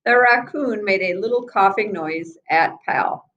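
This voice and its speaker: A woman reading a children's book aloud in an expressive storyteller's manner, with small asides to her listeners. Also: mid-range voice, measured pace, dull audio. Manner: expressive, acts out the children's dialogue.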